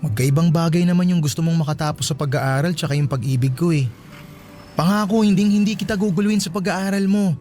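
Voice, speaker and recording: A man's voice: fairly high pitch, fast, mellow, soft background music, close to an everyday voice. A Filipino man playing a lovestruck, persistent teenage suitor in a radio drama, earnest and pleading.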